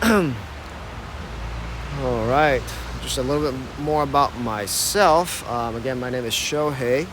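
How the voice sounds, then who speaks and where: A man's voice: medium pitch, takes it slowly, long pauses, background noise. Chatty American man on a street walk. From Ohio, United States. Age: 30s. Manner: casual, chatty, many fillers. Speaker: tour guide. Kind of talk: live-streamed walking tour. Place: outdoors on an old city street. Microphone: phone camera held while walking.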